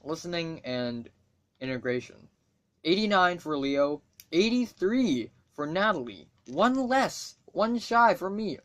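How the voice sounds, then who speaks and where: A teenage boy from Hong Kong, a native Cantonese speaker, chats to his camera in a slightly high voice at an unhurried pace. He rambles, swears without a second thought and makes wry asides about himself. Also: very animated, a bit bright. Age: teens. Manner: rambling, swears freely, self-mocking asides. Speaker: student vlogger. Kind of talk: casual talk to camera.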